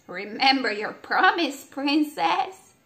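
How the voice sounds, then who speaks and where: A woman voicing a polite male talking frog in a storybook reading, slow and coaxing. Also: high voice, expressive, bright, forceful tone, pitched above the performer's own voice, exaggerated voice.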